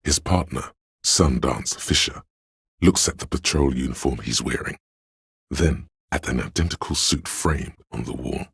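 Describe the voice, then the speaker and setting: Profoundly deep, gravelly brooding narrator. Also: male voice, unhurried, a bit bright, no echo, clean studio sound, full character voice. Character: brooding omniscient inner voice narrating weary police life. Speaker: voice actor narrating a detective game. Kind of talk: dramatic literary scripted narration.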